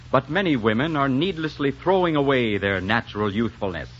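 A man's voice: medium pitch, a bit bright, a little hiss or hum. A man working as a 1940s radio announcer, reading scripted copy in a polished, persuasive manner. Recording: dull vintage 1940s radio recording, faint music.

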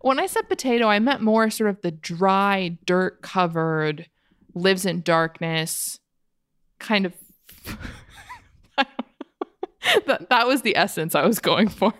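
A woman's voice: mid-range voice, expressive, slow, long pauses, fairly bright tone, dry recording. A woman co-hosting a podcast in casual chat, with a dry, jokey, self-deprecating way of talking. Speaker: podcast co-host.

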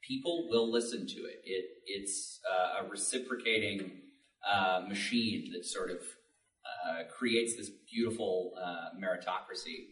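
A man speaking in a panel discussion with halting, thoughtful phrasing, recorded in a library room. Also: on the low side. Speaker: audio drama creator on a panel. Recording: echoey room, distant mic.

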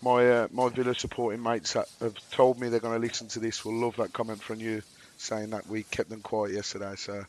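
A hoarse man co-hosting a casual football podcast and running through team news. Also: on the low side, little pitch movement, a little fast, slight background noise, rough recording. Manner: laid-back, self-deprecating. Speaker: podcast co-host.

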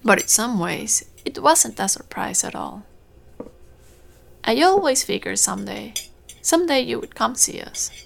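A woman's voice: a little high, animated, measured pace, vocal fry, slight background noise, full character voice. An actress playing a kindly, middle-aged, motherly farm woman in a naturalistic audio drama. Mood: fond.

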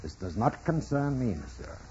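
Gruff, formal dean male actor, emphatic wide intonation, old-time radio acting on a muffled noisy recording. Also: mid-range voice, sing-song, normal speed, darkish tone, close to an everyday voice. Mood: firm, dismissive. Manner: firm, dismissive, formal. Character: gruff, authoritative, shrewd male parish dean. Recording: dull, noisy vintage radio.

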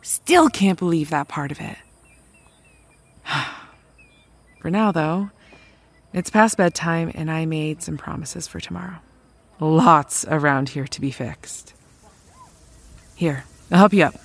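A woman's voice: low voice, slow, long pauses, breathy edge, full character voice. A woman playing a weary but hopeful homesteader in an audio drama, speaking in an earnest, intimate, plainspoken way. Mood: warm, tired. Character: weary, hopeful woman back home in rough country. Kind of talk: intimate audio fiction acting.

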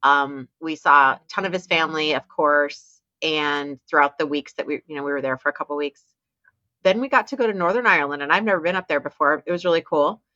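A woman's voice: deep voice, brisk, sharp tone, dry recording, highs cut off. A woman co-hosting a podcast, chatting casually and rambling with restarts. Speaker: podcast co-host. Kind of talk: casual chat.

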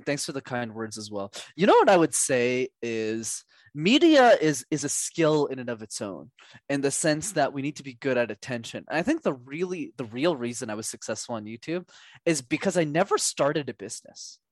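A man who coaches public speaking, talking as a podcast guest in an energetic, emphatic way. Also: medium pitch, moderate pace, no echo. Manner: energetic, emphatic, animated explanations. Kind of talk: casual interview chat.

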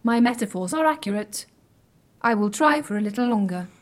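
A high, female-voiced superintelligent computer from an audio comedy, acted by a woman. It speaks in stilted, exact, formal phrases with a deadpan delivery. It is pedantic and literal-minded, and quietly smug about its own intellect. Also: a little fast, natural voice. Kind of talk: scripted audio comedy drama.

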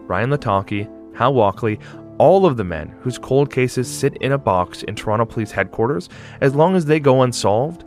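A man hosting and narrating a documentary podcast in a somber, reflective scripted voice. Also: medium pitch. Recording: narration with faint music bed.

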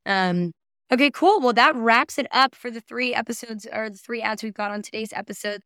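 A woman with a smooth, clear voice talks as a podcast co-host. She reviews things in a casual, conversational way and readily agrees with her partner. The sound is close and dry. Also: a little high, fairly quick, bright, forceful tone, dry recording.

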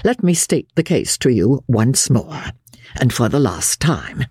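A man's voice: medium pitch, big pitch swings, a little slow, darkish tone, husky, dry recording, studio quality, voice pushed up, exaggerated voice. A man voicing a stern, self-controlled but exasperated lawyer in an audiobook, speaking formally and insistently. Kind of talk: dramatised audiobook character voice.

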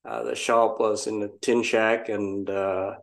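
A man's voice: lowish voice, level tone, measured pace, gravelly. Reflective older man from Malaysia. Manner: reflective, self-deprecating, wry. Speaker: guest entrepreneur. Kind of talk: casual interview storytelling.

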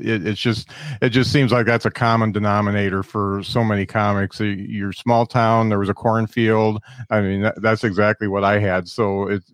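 Low-voiced laid-back podcast host. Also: male voice, dry recording. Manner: laid-back, hesitant with fillers. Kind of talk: casual interview chat.

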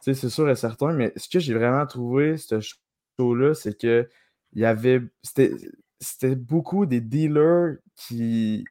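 A Québécois man chats as a podcast guest, hesitant and full of fillers as he works through his thoughts. His voice is mid-pitched. The sound is dry and close to the mic. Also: measured pace, mellow, dry recording. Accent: Québécois French. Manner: hesitant, many fillers and restarts. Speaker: guest, card dealer. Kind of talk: casual chat.

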